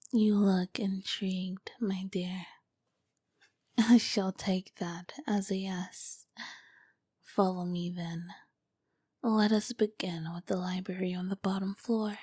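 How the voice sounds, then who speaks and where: Casting: female performer as charming, dangerous royal vampire queen for ASMR roleplay; breathy whispered delivery, unhurried, courtly and flirtatious. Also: medium pitch, darkish tone, no room sound, studio quality. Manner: slow, formal, flirtatious phrasing. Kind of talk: ASMR roleplay, scripted and intimate.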